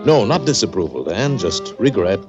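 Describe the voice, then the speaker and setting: An actor playing a polite man of about fifty, an attorney, in a 1940s radio drama, pausing with "uh". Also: fairly low pitch, big pitch swings. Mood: courteous. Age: 50s. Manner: courteous, hesitant with "uh". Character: smooth, polite middle-aged male attorney. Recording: lo-fi old radio recording with music.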